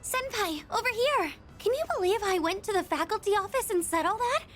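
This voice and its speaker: A woman playing a timid, earnest high-school girl in an anime-style video game, with a hesitant, gushing way of talking. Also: animated, fairly quick, a bit bright. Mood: bubbly, flustered. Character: shy, excitable, earnest high-school girl, timid but bold in love. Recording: music behind the voice.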